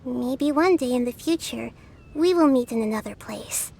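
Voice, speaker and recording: Enigmatic bird spirit for a video game: serene, soft-spoken, gentle, faintly wistful. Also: female voice, fairly high pitch, expressive, normal speed, gravelly, a little hiss or hum. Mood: serene, admiring. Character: mysterious gentle female bird spirit watching from afar.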